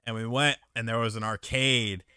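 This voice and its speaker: A man with a bright, smooth voice hosts a casual gaming chat. He reads viewer messages and tells lively, swearing-heavy stories about arcades. The close mic leaves his voice dry and clean. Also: mid-range voice, normal speed, dry recording. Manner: profane, animated storyteller. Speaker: podcast host reading super chats.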